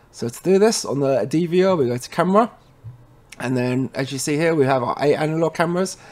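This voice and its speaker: A man presenting a technical tutorial in an informal way, talking viewers through each step and often checking in with a quick tag word. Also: medium pitch, darkish tone. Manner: informal, addresses viewers as guys, frequent tag okay. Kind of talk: casual screen-recorded how-to walkthrough.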